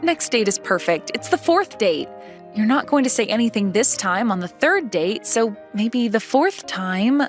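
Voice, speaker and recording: A woman's voice: a little high, big pitch swings, measured pace, faint music behind. A young woman playing a reserved yet playful schoolgirl in a manga dub, coy and matter-of-fact with a touch of indignation. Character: reserved yet playful, easily flustered schoolgirl love interest.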